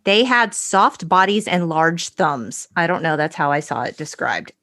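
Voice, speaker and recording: Animated woman podcast host, dry close sound. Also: low voice, a little slow, no echo. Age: 40s. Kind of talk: casual conversational storytelling.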